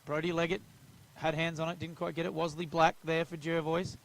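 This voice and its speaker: Australian man calling footy, flat delivery. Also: on the high side, a little fast, creaky. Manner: steady, even play calling. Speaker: football commentator. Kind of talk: live play-by-play commentary.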